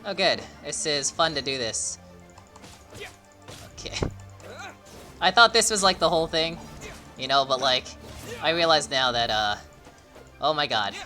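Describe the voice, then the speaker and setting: A man's voice: fairly high pitch, expressive, unhurried, long pauses, bright, forceful tone, soft background music. A young man giving casual live gameplay commentary, tossing out offhand asides to himself as he plays. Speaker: game streamer.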